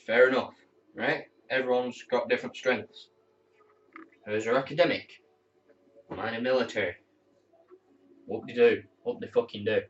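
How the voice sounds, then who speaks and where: A teenage cadet corporal chatting candidly to camera at home, rambling and swearing now and then. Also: male voice, medium pitch, steady tone, normal speed, long pauses, slight background noise. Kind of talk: casual talk to camera. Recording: distant, muffled mic.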